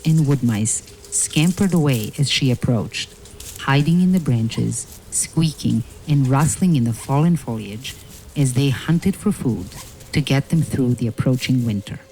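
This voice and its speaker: A woman narrator reading a scripted fairy tale in a measured, dramatic storytelling manner, with music. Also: very deep voice, darkish tone, poor audio.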